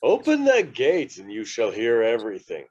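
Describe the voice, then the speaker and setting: A man's voice: mid-range voice, wildly swooping pitch, normal speed, background noise. Slightly dull man playing a grave commanding wizard. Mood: stately, firm. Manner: declaiming, stately. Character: commanding old male wizard, grave and urgent. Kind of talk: table read of a fantasy film script.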